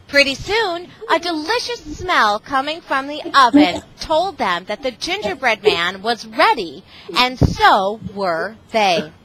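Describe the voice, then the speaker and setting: A woman's voice: fairly high pitch, pushed and bright, slight background noise, poor audio. A middle-aged woman reading aloud to a class of children over a video call, exclamatory and often asking them questions. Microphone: video call microphone.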